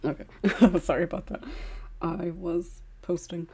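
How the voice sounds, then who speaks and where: A woman's voice: lowish voice, steady tone, measured pace, mellow, husky. A woman hosting a casual podcast chat, giggly and laughing as she talks. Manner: giggly, often laughs while speaking.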